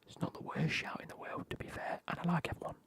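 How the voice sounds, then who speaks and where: A man's voice: fast talker, fairly bright tone. A man, whispering as he banters with his live stream chat and swears now and then.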